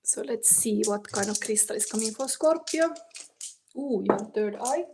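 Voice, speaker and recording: A woman from Finland with a Finnish accent, casually reading tarot on a live stream and chatting to viewers in halting, non-native English as she thinks aloud. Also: medium pitch, expressive, noisy background. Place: warm indoor room. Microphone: phone.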